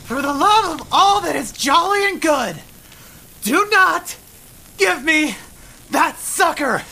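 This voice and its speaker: A woman's voice: animated, slow pace, vocal fry, sharp tone, full character voice. A woman playing an anguished, pleading character in an audio drama. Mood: frantic shouting. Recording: noisy, rumbling audio, far from mic.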